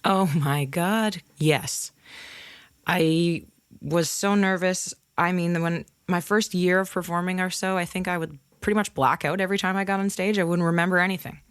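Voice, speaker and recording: A woman singer speaking as a podcast guest, candid and open as she tells her stories, with occasional laughs. Her voice is slightly low for a woman, recorded close and dry with no room echo. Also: steady tone, moderate pace, clear, resonant tone. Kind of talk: casual interview chat.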